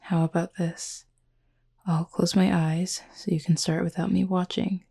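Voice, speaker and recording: A horned cupid roommate, acted by a woman, speaks softly and intimately in a low, husky voice with a mellow tone. The delivery is calm and measured, with polite, formal wording and a tender, teasing warmth made for roleplay audio. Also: heavily acted. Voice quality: soft, breathy, husky.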